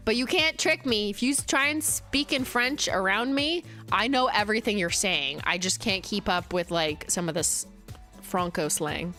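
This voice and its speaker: A woman's voice: medium pitch, unhurried, bright, forceful tone, soft background music. A young Canadian woman with a Canadian accent hosting a casual livestream while sick and losing her voice, chatty and laughing often. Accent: Canadian English. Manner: chatty, laughs often, switches between English and French. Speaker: livestream host, brand founder.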